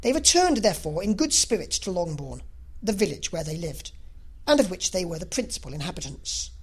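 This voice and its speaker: Animated woman narrating a classic novel. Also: lowish voice, fairly quick, breathy edge. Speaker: audiobook narrator. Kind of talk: scripted literary narration.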